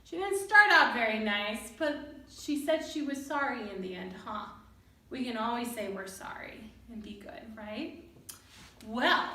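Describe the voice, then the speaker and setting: A woman hosting a children's storytime, reading and talking to young listeners in an expressive way. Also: on the high side. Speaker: storyteller and children's session host. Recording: roomy, distant microphone.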